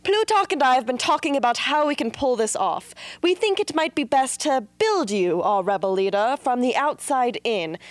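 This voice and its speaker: Woman playing a high, theatrical, tactless film director. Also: animated, normal speed, hardly any pauses, no room sound. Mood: visionary. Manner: theatrical, appraising, condescending. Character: brisk, image-obsessed, tactless female propaganda film director. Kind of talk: amateur radio drama acting.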